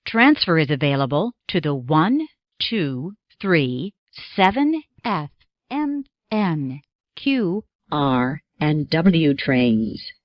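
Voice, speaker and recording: An American woman reading scripted subway announcements as an automated announcer, in measured, segmented phrases. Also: low-pitched, big pitch swings, dry recording, muffled recording.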